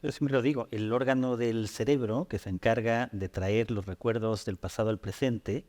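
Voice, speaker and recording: A man's voice: mid-range voice, fairly even intonation, normal speed, darkish tone. A man, the host, breaking into the conversation to explain an idea. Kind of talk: conversational talk show discussion.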